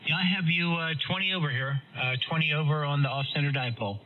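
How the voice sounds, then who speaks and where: A man talks casually as a ham radio operator, in halting technical chat. The transmission is somewhat dull and muffled, with light noise behind the voice. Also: a little high. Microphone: heard over amateur radio.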